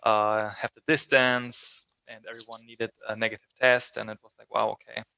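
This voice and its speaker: Bright, even-toned German man. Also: lowish voice, moderate pace, vocal fry, clear, resonant tone. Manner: searches for English words as a second-language speaker. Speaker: interview guest, musician. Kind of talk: casual chat.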